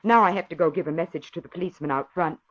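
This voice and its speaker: An actress playing a caring, anxious grown daughter, earnest and melodramatic, in an old radio drama. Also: female voice, fairly low pitch, racing, lightly acted. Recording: muffled vintage radio recording.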